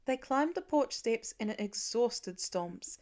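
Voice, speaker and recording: A woman's voice: on the high side, expressive, takes it slowly, a little mellow. Woman narrating a story expressively. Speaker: fan fiction audiobook reader.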